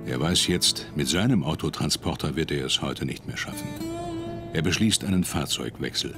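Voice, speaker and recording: A man narrating a television documentary in a calm, measured voice-over. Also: very deep voice. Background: music.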